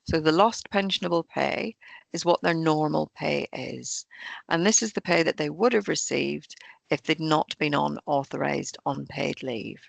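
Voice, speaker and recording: A woman talks through pension rules in a webinar, her hoarse voice deeply gravelly and full of fry. She keeps an even, explanatory pace over a video call. Her voice is dry and close, with no room sound at all. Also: measured pace, no room sound. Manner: steady, explanatory, reads through rules point by point. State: croaky, hoarse voice. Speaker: pension fund trainer. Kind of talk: presenting an online employer webinar.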